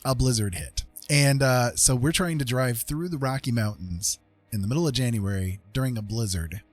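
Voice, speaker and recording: An American man casually telling a rambling personal story as a livestream host, animated and repeating phrases for emphasis. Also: medium pitch, normal speed, no echo, soft background music.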